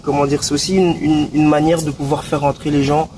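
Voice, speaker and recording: A man in his twenties from Belgium, a rapper talking in a relaxed, slangy way with lots of restarts and fillers. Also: fairly high pitch, brisk, a crowd in the background. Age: 20s. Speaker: guest rapper. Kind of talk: casual interview. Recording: distant mic with clear background noise.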